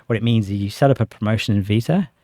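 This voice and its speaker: Fast-talking man, mellow voice. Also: on the low side, dry recording, clean studio sound. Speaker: interview guest, sales director. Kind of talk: conversational product explanation.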